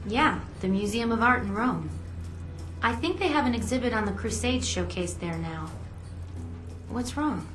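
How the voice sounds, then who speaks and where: A woman's voice: a little high, animated, normal speed, pauses often, fairly bright tone. A woman playing a spy's concerned, inquisitive ally in a video game, talking in an earnest, questioning way. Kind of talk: video game cutscene dialogue. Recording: roomy sound with music behind.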